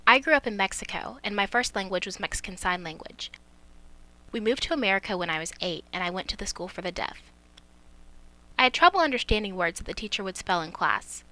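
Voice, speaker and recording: A woman's voice: mid-range voice, expressive, fairly quick, sharp tone, rough recording. A woman student interpreter voicing a signer's story in plain first-person narration.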